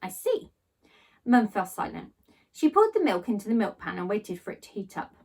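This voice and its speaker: A woman reading a children's story aloud in an expressive, animated storytelling style. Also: mid-range voice, a little fast, creaky, husky, far from the mic. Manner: animated read-aloud delivery. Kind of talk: expressive storybook narration in first person.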